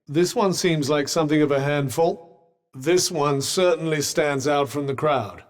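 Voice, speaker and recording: An actor voicing a sentient magical vambrace in a video game, a wry, haughty, cautious companion with a British accent and dry, sardonic, formal understatement. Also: male voice, fairly high pitch, measured pace, lightly acted. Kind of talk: video game character dialogue. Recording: long reverberant echo on the voice.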